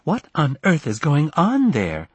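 Man as elderly grandmother, high pitch, fretful, severe, reproachful, complaining, audiobook character. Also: wildly swooping pitch, a little slow, darkish tone, slightly rough, no room sound, exaggerated voice. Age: older. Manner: severe, complaining, panicky insistence.

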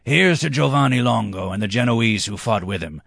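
A man's voice: mid-range voice, animated, normal speed, creaky, fairly bright tone, no room sound, studio quality, pitched above the performer's own voice. A man voicing a drunken, boastful, hot-tempered red-haired banker.

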